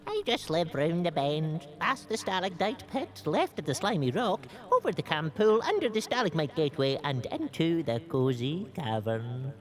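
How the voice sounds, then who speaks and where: Musing old cave hermit, swooping voice. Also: female voice, deep voice, big pitch swings, normal speed, hardly any pauses. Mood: kindly, wise. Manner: musing, hesitant, humming to self. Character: gentle old hooded mushroom gatherer in a cave, male. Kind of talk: family audio drama acting.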